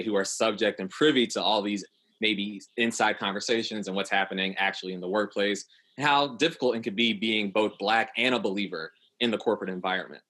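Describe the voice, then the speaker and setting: A man's voice: on the low side, fairly bright tone. A man in his late twenties hosting a panel conversation in long, flowing sentences. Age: late 20s. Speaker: podcast host.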